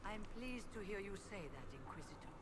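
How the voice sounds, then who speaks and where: An actress voicing a composed, dignified female mage leader in a video game, formal and measured with a wry, gracious air. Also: medium pitch, sing-song, crowd noise, lightly acted. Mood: reflective, wry. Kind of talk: video game character acting. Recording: echoey, clearly noisy game audio.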